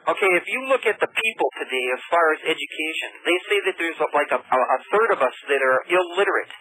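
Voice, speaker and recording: High, bright-voiced American man calling in. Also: lively intonation. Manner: halting, repetitive, earnest. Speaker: caller to a radio show. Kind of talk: earnest political argument on open phones. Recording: noisy telephone line into radio broadcast.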